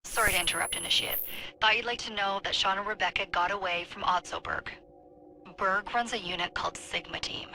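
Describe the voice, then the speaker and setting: An actress playing a businesslike leader of secret modern operatives in a video game, giving brisk, matter-of-fact briefings. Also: female voice, medium pitch, gravelly, bright, forceful tone, low-quality recording. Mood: urgent briefing.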